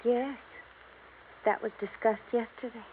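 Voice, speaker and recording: A woman's voice: fairly high pitch, steady tone. A woman playing a rich young wife, evasive, brittle and nervous, in an old radio drama. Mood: cool, languid. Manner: halting, guarded. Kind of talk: golden age radio drama acting. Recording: muffled, noisy vintage radio recording.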